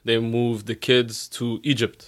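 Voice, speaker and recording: A man telling a story as a podcast guest, acting out quoted lines in a raised voice and laughing often. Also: a little slow. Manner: animated storyteller who acts out quotes in a high voice and laughs a lot. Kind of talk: casual storytelling chat.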